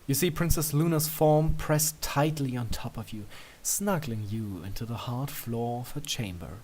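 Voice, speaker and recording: A man with a medium-pitched voice reads fiction aloud. His delivery is scripted storytelling, addressed to the listener in the second person. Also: expressive, moderate pace, a little mellow. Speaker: fan fiction reader.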